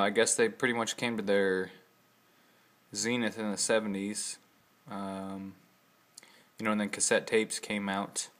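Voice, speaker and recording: Bright-voiced man rambling casually at home. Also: on the low side. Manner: rambling, hesitant, informal. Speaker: hobbyist showing his vintage audio gear. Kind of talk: casual off-the-cuff show-and-tell. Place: living room at home.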